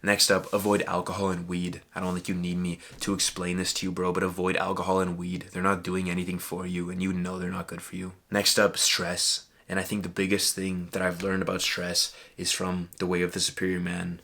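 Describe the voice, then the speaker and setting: A man's voice: low-pitched, monotone, a little fast, hardly any pauses, a bit bright. A young man giving casual, earnest motivational advice to camera as a fitness vlogger, emphatic and peppered with "bro" and "literally.".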